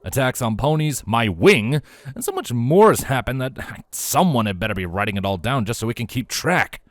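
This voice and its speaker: A man voicing a gruff, deadpan stallion captain with a dryly mocking manner in a storybook reading. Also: mid-range voice, normal speed, dry recording, clean studio sound, lightly acted.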